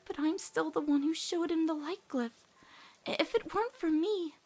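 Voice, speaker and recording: A woman voicing an earnest, self-doubting teenage girl with halting delivery in a dramatic comic dub. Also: extremely high pitch, a little mellow, faint music behind, voice pushed up. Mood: guilty, troubled. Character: guilt-ridden, earnest, stubborn teenage human girl.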